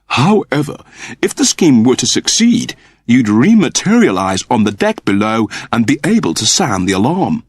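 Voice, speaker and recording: A man's voice: medium pitch, very animated, normal speed, breathy edge, dry recording, pitched above the performer's own voice. A man voicing a polite, anxious robot servant, deferential and formal, in an audiobook story. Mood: persuasive. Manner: deferential, formal, precise wording.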